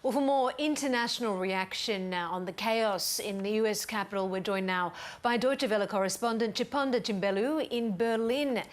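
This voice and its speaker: A woman news anchor introducing a correspondent and moving on to the next story, composed and crisp. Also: medium pitch, normal speed, few pauses. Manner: crisp, composed studio delivery. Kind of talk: news presenting.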